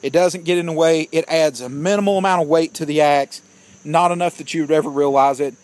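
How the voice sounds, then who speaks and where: A man's voice: a little high, steady tone, fairly quick, darkish tone. A man giving a casual outdoor gear review, plainspoken and opinionated, often calling his viewers "guys". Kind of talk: casual product review vlog.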